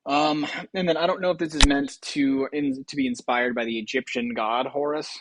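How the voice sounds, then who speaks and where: A man's voice: on the high side, animated, normal speed, creaky, noisy background. A man hosting a game show with casual, improvised commentary in a rambling, offhand way.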